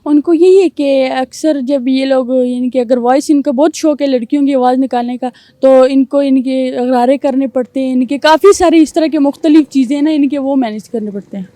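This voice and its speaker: A young man answering interview questions in a girlish falsetto, rambling with fillers. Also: fairly even intonation, few pauses, dark tone, no room sound. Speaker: guest.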